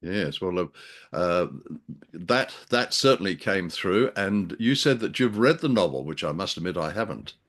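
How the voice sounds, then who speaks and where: An older man hosting a radio show, chatting casually in a deep voice, with a wry, joking and hesitant manner. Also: lively intonation, a little slow. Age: older, about 70s. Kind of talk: casual chat with joking banter. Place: indoors, on a video call.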